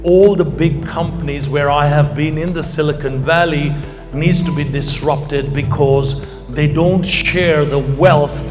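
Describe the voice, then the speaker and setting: Male conference speaker, middle-aged, fairly high voice, informal and emphatic, recorded in an echoing hall. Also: steady tone, measured pace. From Kenya. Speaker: company founder and keynote speaker. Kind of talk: informal conference pitch to an audience. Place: large hall, speaking to an audience. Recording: long hall reverb, music behind. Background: music.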